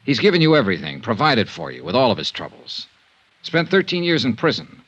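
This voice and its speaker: A man's voice: medium pitch, huge pitch swings, a little fast, a bit bright, slightly rough, full character voice. An American actor playing a blunt, world-weary male insurance investigator in a 1950s radio drama, both narrating and speaking in scenes. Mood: pressing, frank. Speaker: lead actor and narrator of a radio detective serial. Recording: dull band-limited 1950s radio recording.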